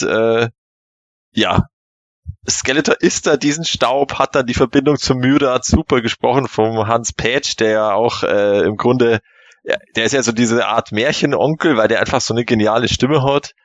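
A man on a podcast panel chatting casually and enthusiastically as a fan, halting and often repeating words. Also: medium pitch, no room sound.

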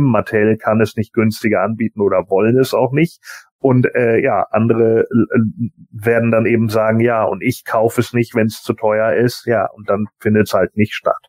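A man's voice: fairly low pitch, steady tone, moderate pace, a little mellow, no room sound. A man speaking as a panelist in a casual fan podcast discussion.

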